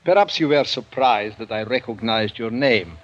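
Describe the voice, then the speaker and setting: An actor playing a suave aristocratic general, formal, gracious and coolly commanding, in an old-time radio drama. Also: male voice, mid-range voice, moderate pace, background noise, natural voice. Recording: dull, muffled vintage radio recording.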